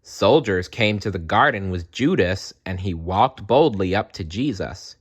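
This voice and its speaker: A man reading a children's story aloud as a dramatic, expressive narrator. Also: low-pitched, measured pace, slightly rough.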